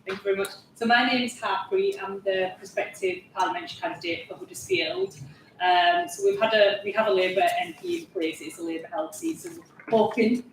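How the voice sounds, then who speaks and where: A woman's voice: mid-range voice, sing-song, brisk, slight background noise. Chatty Yorkshire woman, far from the mic. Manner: chatty, laughs often. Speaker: prospective parliamentary candidate. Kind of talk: panel introduction. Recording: echoey and distant from the mic.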